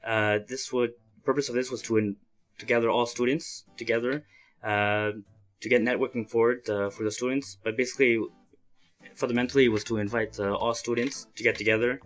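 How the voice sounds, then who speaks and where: A man's voice: medium pitch, fairly even intonation, fairly bright tone, soft background music. A man in his early twenties from Montreal, Canada, giving earnest, hesitant interview answers as a student leader. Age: early 20s. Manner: halting, hesitant phrasing. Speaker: interviewee, student association chairman.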